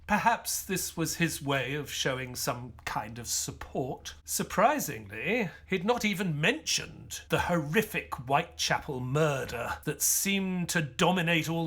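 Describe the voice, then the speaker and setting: Weary Victorian gentleman, British accent, slow measured pace, husky edge, old-fashioned and formal, audio drama. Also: a little high, exaggerated voice. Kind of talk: audio drama acting with first-person storytelling.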